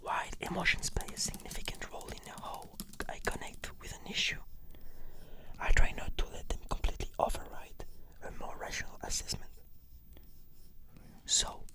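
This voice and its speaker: A woman whispering measured, articulate, scripted answers as the client in an ASMR roleplay.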